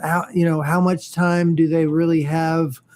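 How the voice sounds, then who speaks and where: A man's voice: on the high side, little pitch movement, darkish tone. A man chatting casually about comic-book shows, hesitant and full of fillers and restarts. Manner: halting, many fillers and restarts. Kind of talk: casual geeky chat.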